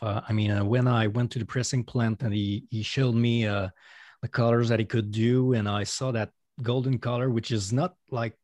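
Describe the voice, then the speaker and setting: A man's voice: fairly low pitch, a little mellow, dry recording. A man from Quebec with a French Canadian accent, French as his first language, chatting hesitantly as a guest musician with many fillers and restarts. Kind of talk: casual podcast chat.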